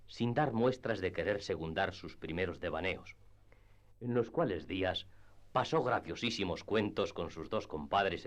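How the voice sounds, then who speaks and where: A man with a fairly low voice narrates a literary radio drama. His is measured, in the manner of a practised storyteller reading a script.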